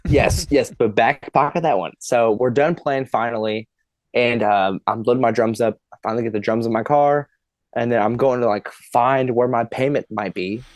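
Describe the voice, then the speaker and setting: A man's voice: medium pitch, fairly even intonation, moderate pace, no room sound. A man in his twenties, a podcast guest, telling a long funny story in casual chat, laughing and swearing as he goes.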